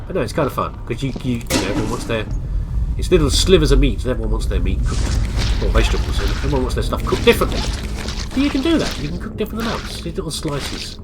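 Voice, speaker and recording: Middle-aged man, stuffy nose, lively rambling let's-play chatter, noisy game audio underneath. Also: medium pitch, fast. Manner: chatty, meandering, self-deprecating. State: congested, bunged-up nose. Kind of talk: rambling casual gameplay commentary. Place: home office.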